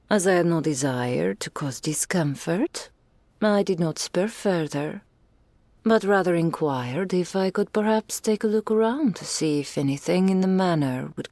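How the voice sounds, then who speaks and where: Woman playing a low, creaky, doting dragoness. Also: darkish tone, no echo, heavily acted. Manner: archaic, ornate, unhurried, affectionate phrasing. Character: doting, regal female dragon in towering human form. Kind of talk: ASMR roleplay, intimate scripted monologue addressed to listener.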